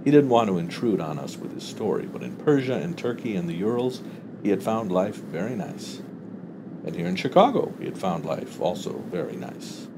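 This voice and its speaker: Male story reader, very low and animated, expressive literary narration over clear background noise. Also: normal speed. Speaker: audiobook reader.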